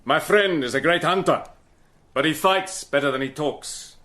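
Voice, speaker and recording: A cool, persuasive British agent narrates and argues in a calm, measured way. His voice sits slightly high, steady and controlled, like the lead of a radio drama.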